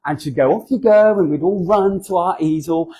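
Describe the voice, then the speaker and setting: A man's voice: high voice, moderate pace, warm tone, husky. A man in his forties with a southern English accent, giving a humorous, self-deprecating talk at a church and acting out voices in his stories. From southern England. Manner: chatty and self-deprecating, acts out voices in anecdotes. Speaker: guest speaker.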